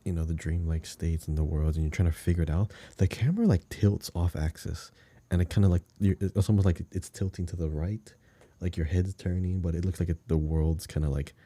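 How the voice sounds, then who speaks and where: A man's voice: low voice, steady tone, brisk, dark tone. A man co-hosting a podcast, chatting casually about a video game and rambling through descriptions in short phrases. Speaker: podcast co-host.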